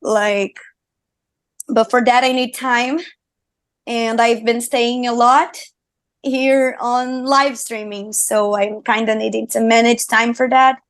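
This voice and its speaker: A woman's voice: on the high side, fairly even intonation, takes it slowly, no room sound. A young woman from Brazil with a Brazilian Portuguese accent, a singer and streamer chatting as a guest in an interview, upbeat and full of fillers and restarts. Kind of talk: casual interview chat.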